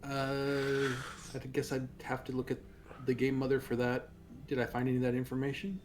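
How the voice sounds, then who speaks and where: Flat-toned, hesitant man. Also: medium pitch, normal speed, background noise. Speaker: tabletop roleplaying player. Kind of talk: in-character game dialogue.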